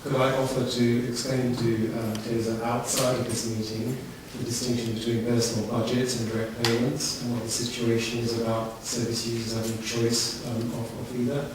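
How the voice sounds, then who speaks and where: A man making polite, measured, formal remarks at a committee meeting in a large echoey room. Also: mid-range voice, little pitch movement. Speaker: meeting participant offering an explanation. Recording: echoey hall from far off, clear noise.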